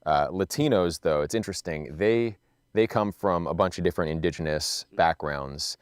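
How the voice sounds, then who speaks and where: A man's voice: deep voice, normal speed, clean tone, no echo, studio quality. A man from Southern California answering interview questions in an earnest, lecturing way.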